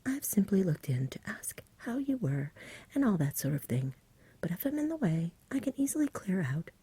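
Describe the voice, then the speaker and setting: A woman voicing a polite, self-assured, slightly weary country boy in a breathy, whispery ASMR storybook reading. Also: medium pitch, sing-song, normal speed, dark tone, pitched above the performer's own voice. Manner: matter-of-fact, self-assured.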